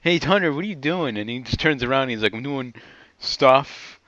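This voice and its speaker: A man's voice: medium pitch, lively intonation, normal speed, fairly bright tone, dry recording, dull audio. A man co-hosting with casual, incredulous banter, riffing informally while he plays a game. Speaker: co-host reacting to the reading.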